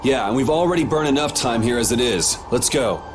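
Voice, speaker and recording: A man's voice: medium pitch, lively intonation, brisk, noisy background, close to an everyday voice. An actor playing a laid-back young male party leader in a video game, talking in a dry, casual way. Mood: calm, decisive. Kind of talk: English video game dub acting.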